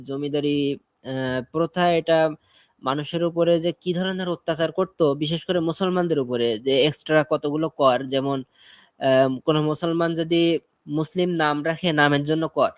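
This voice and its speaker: A man with a fairly high voice speaks as a panelist in an informal live discussion. He explains his points at length, and the sound is dry and close. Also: normal speed, clean tone, dry recording.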